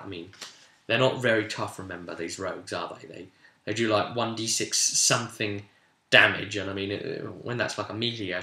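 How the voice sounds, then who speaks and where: A man's voice: fairly low pitch, bright, forceful tone. A man casually recapping a tabletop role-play session as game master, telling it like a story and breaking off into asides. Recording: roomy, echoey room sound.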